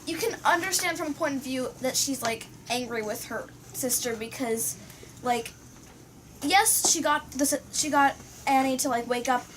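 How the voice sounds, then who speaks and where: A woman's voice: high voice, slight background noise. A woman in the audience giving her view in a rambling way, full of fillers. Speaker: audience member. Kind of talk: discussion.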